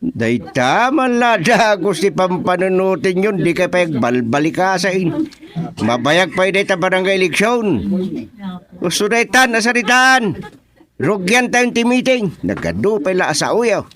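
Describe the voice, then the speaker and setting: A man's voice: sits high, very animated, measured pace, crowd noise. A man playing an authoritative, practical village chairman running a meeting in a radio drama comedy. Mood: scolding.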